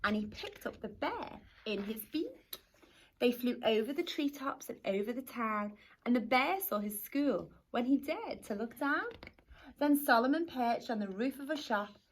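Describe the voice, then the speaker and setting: A woman teacher reading a rhyming picture book aloud to young children in an expressive storytelling manner. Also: on the high side, unhurried, poor audio. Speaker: primary school teacher.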